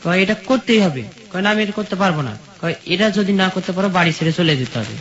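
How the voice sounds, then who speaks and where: A man's voice: sits high, fast. A young man from rural Bangladesh telling his life story as a guest, in plain colloquial speech, quoting conversations as he goes. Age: young adult. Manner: plain colloquial village speech, recounting step by step. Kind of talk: personal storytelling in an interview, quoting dialogue. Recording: dull sound with steady sizzling noise.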